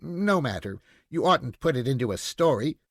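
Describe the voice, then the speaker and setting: A man's voice: mid-range voice, very animated, moderate pace, husky, no echo, studio quality. A man voicing a bossy, insistent little girl in a storybook, with a prim, scolding manner. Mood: indignant.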